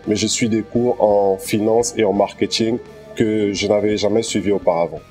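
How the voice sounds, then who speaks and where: Young male student, Central African accent, lowish warm voice, interview testimonial with soft guitar underneath. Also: fairly even intonation, moderate pace. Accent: Central African French. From Cameroon, of Congolese descent. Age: young adult. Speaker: accounting student. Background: guitar music.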